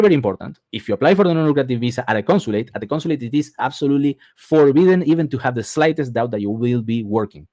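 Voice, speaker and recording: A man's voice: mid-range voice, a little mellow, no echo. Argentine-born man giving a webinar talk. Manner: repeats words for emphasis, frequent "okay?" check-ins. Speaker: immigration advisor presenting a webinar. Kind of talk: explanatory webinar presentation.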